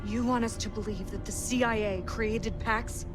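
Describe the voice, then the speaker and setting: A woman's voice: a little high, steady tone, normal speed, loud music behind the voice, natural voice. An actress playing a skeptical female marine in a game cutscene, questioning pointedly. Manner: skeptical, probing.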